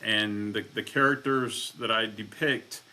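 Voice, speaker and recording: A man, an author, answering interview questions in a measured, reflective way. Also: on the low side, fairly even intonation, sharp tone, rough recording. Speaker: author, podcast guest.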